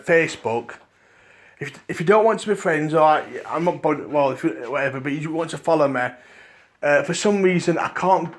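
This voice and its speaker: Liverpool man, podcast host, dry croaky voice, rambles and restarts sentences. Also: fairly high pitch. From England.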